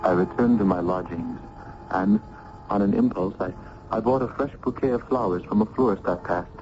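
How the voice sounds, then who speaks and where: A man's voice: on the low side, normal speed, close to an everyday voice. Man as an earnest young student, muffled radio. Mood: breathless recounting. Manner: formal, ardent, florid diction. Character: earnest, smitten young Italian male student. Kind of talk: old-time radio drama acting. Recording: dull, noisy old radio recording.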